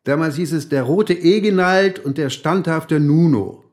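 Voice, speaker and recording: An actor playing a methodical male police interviewer in a radio drama, deliberate, checking each fact. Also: a little high, animated, darkish tone. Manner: deliberate, checking with tag questions.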